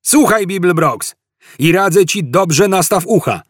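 A man's voice: high-pitched, lively intonation, measured pace, breathy edge, no room sound, clean studio sound, pitched above the performer's own voice, exaggerated voice. A man playing an indignant, wordy space policeman in a comic audiobook, bellowing his earnest speeches. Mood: stern, insistent. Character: megaphone-wielding space policeman, indignant, self-righteous, wordy.

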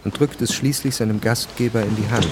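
An actor narrating a historical radio drama in a sober, matter-of-fact way. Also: male voice, lowish voice, fairly even intonation, a little fast, background noise, natural voice. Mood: sober, detached.

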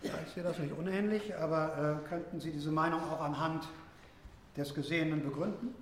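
Guy playing academic lecturer in radio play, wordy and probing, kinda high voice, roomy echo w faint hiss. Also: steady tone, natural voice. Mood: analytical, measured. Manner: academic, wordy, hesitant pauses. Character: analytical male seminar lecturer, wordy and probing. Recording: echoey room with faint noise.

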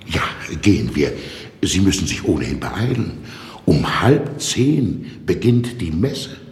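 In an echoing hall, a prison warder talks slowly in a very deep voice. He is coolly formal and evasive, pedantic and dryly mocking, as in a radio drama. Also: exaggerated voice. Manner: deadpan, pedantic, unhurried. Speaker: radio play actor. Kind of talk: German radio drama acting. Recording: echoey reverberant space, music in the mix.

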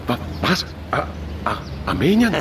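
An actor playing a nervous, cornered Moscow police chief, defensive under pressure, in a noir radio drama. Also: male voice, a little high, very animated, measured pace. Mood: frightened, defensive. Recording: distant mic, noisy outdoor street.

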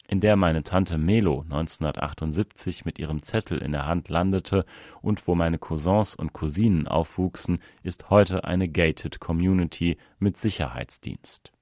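A man reading a first-person documentary essay aloud in a calm, measured, scripted narration. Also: deep voice, no room sound, studio quality.

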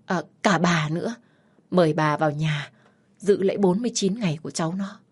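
Woman acting a husky, overwrought grieving mother. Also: fairly low pitch, brisk. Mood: tearful, faltering. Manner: emotional, overwrought. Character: grieving, emotional mother-in-law, bitter then tearfully grateful.

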